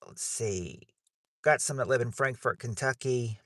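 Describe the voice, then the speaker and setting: A man's voice: mid-range voice, measured pace, a bit bright, no echo, studio quality. A man hosting a livestreamed game night, chatting loosely and often restarting his sentences. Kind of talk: casual chat while hosting a game.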